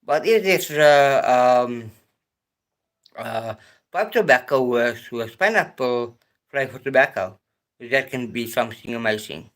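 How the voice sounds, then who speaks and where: A South African man chatting on his own live stream. He talks at an unhurried, drawn-out pace and often stops to restart his sentences. His voice sits at an ordinary middle pitch. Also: a bit bright. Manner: hesitant, halting, with frequent pauses and restarts. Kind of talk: casual live-stream chat.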